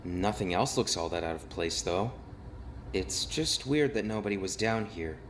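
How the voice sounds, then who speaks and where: An actor playing an uneasy, blunt young man investigating a murder in an animated mystery game, hesitant and easily agitated. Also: deep voice, normal speed, slight background noise. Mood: focused, blunt.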